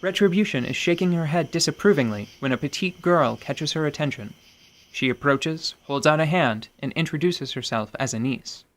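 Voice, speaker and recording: A man narrating a fantasy radio play in a measured, dramatic storytelling style with musing asides. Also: fairly high pitch. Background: soft music.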